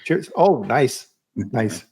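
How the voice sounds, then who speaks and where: A man's voice: mid-range voice, very animated, very slow, a little mellow, slightly rough. A man hosting a live online chat, talking in a casual, chatty way with frequent restarts and stammers. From United States. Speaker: podcast host.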